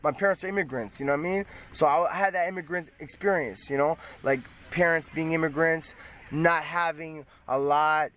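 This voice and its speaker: A man's voice: a little high, normal speed, a bit bright. A man from Toronto with a Toronto accent, talking casually and emphatically in street slang as a rapper being interviewed, often repeating himself. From Toronto, Canada. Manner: emphatic, repetitive, peppered with slang. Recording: noisy outdoor street with traffic.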